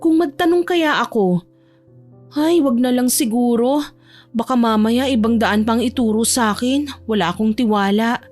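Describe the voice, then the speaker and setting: A woman's voice: on the high side, normal speed, a little mellow, faint music behind, close to an everyday voice. A woman voicing a shy, hesitant young provincial woman in an audio romance drama, polite and unsure. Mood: doubtful, anxious. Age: young adult.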